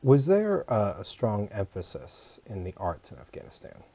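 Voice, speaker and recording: A man's voice: lowish voice, sing-song, moderate pace, dark tone. A young man co-hosting an interview, introducing the guest and asking questions. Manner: brief acknowledgments while listening. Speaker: co-host.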